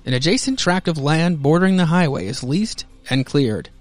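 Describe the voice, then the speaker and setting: A man's voice: a little high, animated, normal speed, dry recording, soft background music. An American man hosting a podcast, mixing theatrical comic-book narration with casual commentary. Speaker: podcast host.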